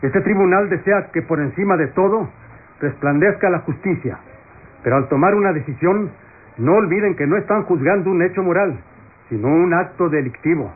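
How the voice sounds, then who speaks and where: An actor playing a solemn, impartial presiding judge, formal and authoritative in radio soap style. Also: male voice, high-pitched, moderate pace, lightly acted. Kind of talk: classic Latin American radio soap acting. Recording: tinny old radio recording.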